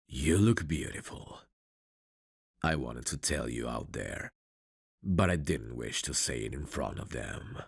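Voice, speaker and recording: A man acting a courteous, tender mafia bridegroom in an intimate ASMR romance roleplay, soft-spoken and flirtatious with a husky, gravelly voice. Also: a bass voice, animated, measured pace, no echo, clean studio sound, heavily acted. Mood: tender, reserved.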